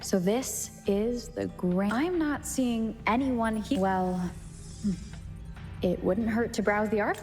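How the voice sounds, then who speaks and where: A woman's voice: mid-range voice, slow pace, darkish tone. A woman voicing a composed, determined young adventurer in a video game, with music behind her. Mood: curious, hesitant.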